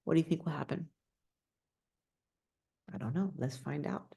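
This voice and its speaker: Woman reading a picture book to kids, lowish creaky voice, chatty little explanations. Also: normal speed, dark tone. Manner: explains simply and asks listener questions. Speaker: storybook reader. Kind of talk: read-aloud children's story with casual asides.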